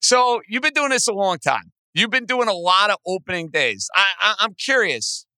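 A man with a booming voice hosting a sports podcast, excitable and emphatic in short punchy phrases. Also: moderate pace, no room sound. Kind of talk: energetic sports commentary and interview.

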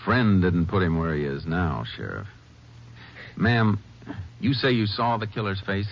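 A middle-aged American lawman with an extremely low voice, courteous and sparing with words. The style is 1950s western radio drama, and the recording is dull with faint noise. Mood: calm, probing. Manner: measured, laconic, polite questioning. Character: calm, courteous middle-aged male Texas Ranger investigator.

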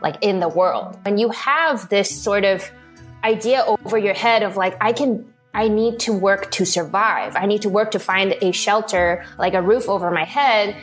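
A woman's voice: medium pitch, moderate pace, faint music behind. A young woman from Hong Kong, a guest telling her story in a casual interview, rambling with many fillers and restarts. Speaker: interview guest, video producer.

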